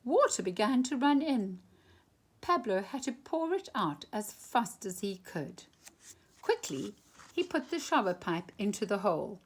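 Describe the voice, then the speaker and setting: Animated woman reading a children's story, unhurried. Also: medium pitch, breathy edge. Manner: animated, dramatic emphasis with drawn-out phrases and hushed moments. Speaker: storytime reader. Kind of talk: expressive children's picture-book read-aloud.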